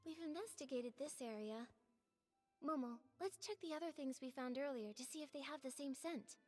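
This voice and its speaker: A woman playing a gentle, curious young heroine in a video game, speaking politely and thoughtfully. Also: sits high, moderate pace, faint music behind, natural voice.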